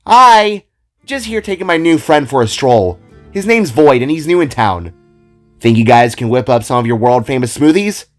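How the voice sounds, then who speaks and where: Guy doing a cocky young vigilante girl, breezy and excitable, swingy pitch, music underneath. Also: normal speed, natural voice. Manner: breezy, boastful, casual. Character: bold excitable young female guitar vigilante with flame powers. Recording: music behind the voice.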